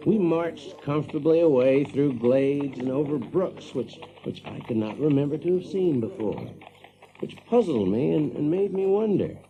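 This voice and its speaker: Animated, folksy Yankee factory man. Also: fairly high pitch, a little hiss or hum, low-quality recording. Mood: wry, puzzled. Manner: folksy, chuckling, animated, drawling emphasis. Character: brash, practical, folksy Yankee factory man telling his tale. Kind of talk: audio drama first-person storytelling.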